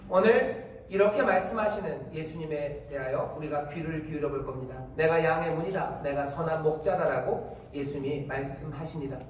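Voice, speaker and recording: A male pastor with a Korean Incheon accent, preaching a sermon in a relaxed, conversational way with asides. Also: fairly high pitch. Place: church sanctuary. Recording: echoey hall, distant mic, faint noise.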